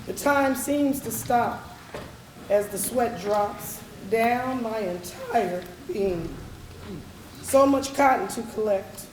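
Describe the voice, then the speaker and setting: Slow, fairly high woman reciting poetry. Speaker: poetry reader on stage. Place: live theatre stage. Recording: echoey hall, distant mic, background noise.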